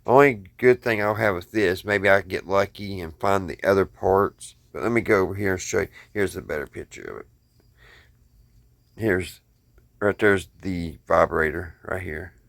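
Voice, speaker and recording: A man's voice: low-pitched, moderate pace, vocal fry, fairly bright tone, no room sound, clean studio sound. An American man talking casually to viewers in a folksy, rambling show-and-tell, thinking aloud as he goes. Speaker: hobbyist video host.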